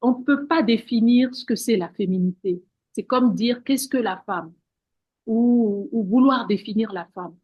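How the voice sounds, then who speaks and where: A woman's voice: a little high, a little slow, slightly rough, no echo, highs cut off. Earnest woman with repetitive phrasing. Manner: earnest, repeats words and restarts often. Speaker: guest, women's wellness practitioner. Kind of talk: spiritual interview talk.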